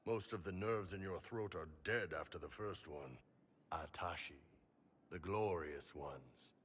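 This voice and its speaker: Game role: huge horned warrior, gruff hard drinker, low forceful voice, noisy ambience behind. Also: male voice. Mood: amused, musing. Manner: slow, relishing, plain-spoken. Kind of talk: video game dialogue.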